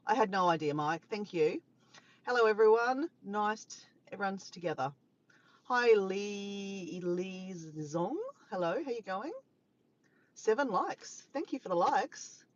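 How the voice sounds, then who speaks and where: A woman's voice: medium pitch, lively intonation, unhurried, lo-fi sound. An Australian woman live-streaming in casual chat, rambling and laughing as she reads out viewers' comments. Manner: chatty, rambling, laughs often, greets viewers.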